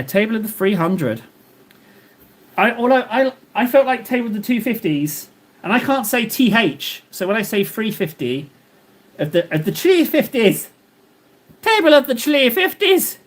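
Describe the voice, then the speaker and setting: A man with a fairly high voice chats casually on a live stream as he paints. He rambles, cracks self-deprecating jokes and answers his viewers in a loose, playful way. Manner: rambling, jokey, banters with chat.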